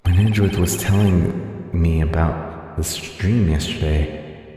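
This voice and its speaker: A man's voice: deep voice, takes it slowly. A man voicing a determined, loyal young defense attorney in a video game, earnest and resolute. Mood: brooding. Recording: loud game music underneath. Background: video game music.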